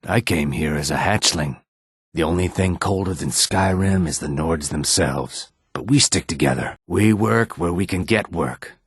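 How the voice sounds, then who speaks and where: An actor playing a weary, wary lizard-man laborer and fighter in a fantasy video game, speaking in a measured, guarded and plain-spoken way with a raspy throat. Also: sits low, no room sound, studio quality. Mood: wry, stoic.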